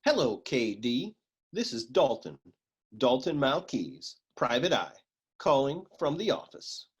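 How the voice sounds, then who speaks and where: Male actor as wisecracking noir gumshoe: husky, very animated pitch, slangy deadpan tough-guy lines for radio comedy. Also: measured pace. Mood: breezy. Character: wisecracking hardboiled male private eye. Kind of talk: humorous noir radio serial acting.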